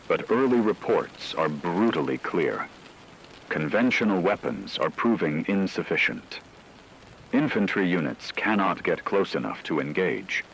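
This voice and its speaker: A man playing a grave radio news anchor, delivering a scripted catastrophe bulletin in a solemn, measured, dramatic way over steady hiss. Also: low-pitched, poor audio.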